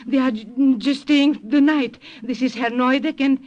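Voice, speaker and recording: A woman's voice: high voice, moderate pace, darkish tone, close to an everyday voice. A woman playing a hospitable, anxious German grandmother and baker with a German accent in an old radio drama, fussing and soothing. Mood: nervous. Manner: fussing, soothing, nervously polite. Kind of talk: 1940s-50s radio drama acting. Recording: dull old radio recording.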